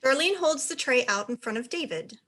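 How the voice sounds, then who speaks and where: A woman's voice: sits high, expressive, a little fast, a bit bright. A woman narrator reading stage directions in scripted narration for a play reading.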